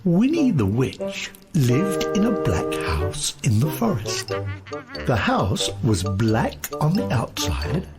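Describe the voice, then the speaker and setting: A woman reading a children's story in a deliberate, expressive way, with music behind her. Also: extremely low pitch. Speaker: storybook reader. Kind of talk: scripted children's story narration.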